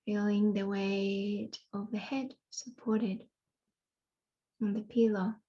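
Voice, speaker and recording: A woman guiding a yoga nidra meditation in a soothing way, leaving long pauses between phrases. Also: mid-range voice, level tone, mellow, slightly rough, lo-fi sound. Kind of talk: guided meditation.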